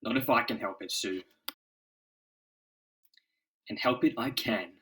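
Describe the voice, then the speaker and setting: A man's voice: medium pitch, slow, far from the mic, voice pushed up, lightly acted. Man voicing a bold comic-book superhero, noisy audio. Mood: determined. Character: earnest heroic comic-book men of a superhero team. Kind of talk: dramatic motion-comic voice acting.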